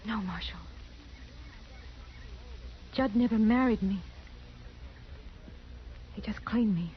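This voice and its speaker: An actress playing a meek, sorrowful frontier woman, halting and plaintive, in a 1950s radio western. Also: mid-range voice, close to an everyday voice. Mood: ashamed, sorrowful. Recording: muffled, hissy 1950s radio drama recording.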